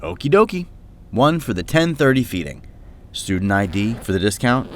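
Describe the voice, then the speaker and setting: A man's voice: on the low side, big pitch swings, normal speed. An actor playing a nervous, flustered male exhibit attendant in an audio drama, easily rattled and prone to stammering and mumbling. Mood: offhand.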